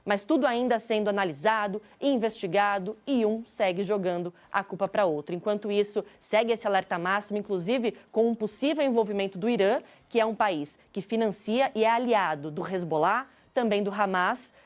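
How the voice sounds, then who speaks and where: Female Brazilian news reporter, mid-pitched, explanatory live-report delivery. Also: moderate pace, hardly any pauses.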